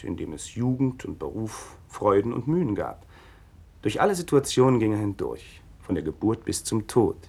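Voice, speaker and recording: Radio drama teacher of religion: learned, measured, patient explainer, low and husky. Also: male voice, darkish tone, heavily acted. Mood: earnest, solemn. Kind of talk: German radio play dialogue, didactic.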